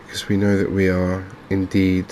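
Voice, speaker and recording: A man speaking softly and unhurriedly in an ASMR style, murmuring his way through video game commentary as a streamer. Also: sits low, completely flat delivery, slight background noise. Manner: soft-spoken, unhurried, murmuring to himself.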